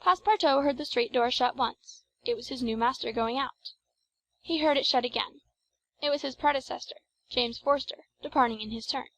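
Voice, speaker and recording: A woman reading scripted literary narration as an audiobook narrator, in a measured, formal storytelling manner. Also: sits high, a bit bright, no room sound, rough recording.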